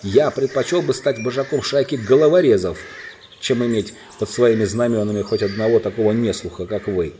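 A man's voice: deep voice, lively intonation, normal speed, a little mellow, slight background noise. A man voicing a noble, commanding king in a storybook, formal and admonishing but fair. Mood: exasperated.

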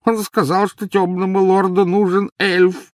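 A man voicing an old male house elf servant from a storybook, servile and anguished, with a croaking, wheezy, halting delivery. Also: high voice, little pitch movement, dry recording, studio quality, voice pushed up. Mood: mournful reverence. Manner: wailing, halting, drawn-out slow speech, speaks of self in third person.

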